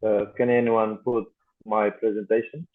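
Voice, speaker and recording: A man's voice: lowish voice, level tone, normal speed. A man from Timor-Leste giving a presentation over a video call, talking hesitantly with many fillers. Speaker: guest speaker. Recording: tinny phone-like video call audio.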